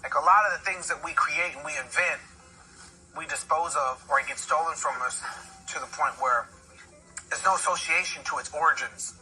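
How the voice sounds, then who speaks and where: A man giving a halting interview answer, pausing and repeating words as he explains himself. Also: a little high, low-quality recording. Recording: thin bright broadcast audio with music underneath. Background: music.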